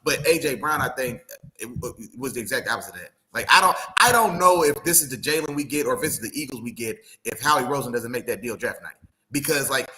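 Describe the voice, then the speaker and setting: A man co-hosting a casual sports podcast, animated and opinionated, often saying "you know what I'm saying.". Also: medium pitch, rough recording.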